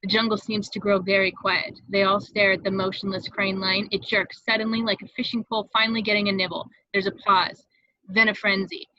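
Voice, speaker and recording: A woman's voice: mid-range voice, level tone, a little fast, pushed and bright, no echo. A woman reading stage directions as the narrator of a script table read, in a slightly dull, dry home recording.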